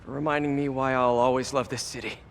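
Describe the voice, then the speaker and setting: A man's voice: mid-range voice, level tone, quick pace, a little hiss or hum, close to an everyday voice. An American man playing an eager, wisecracking, good-hearted young superhero in a video game, boyish and rambling in his delivery. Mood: warm, sincere. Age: young adult. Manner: boyish, joking, rambling to himself. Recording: voice under loud game music.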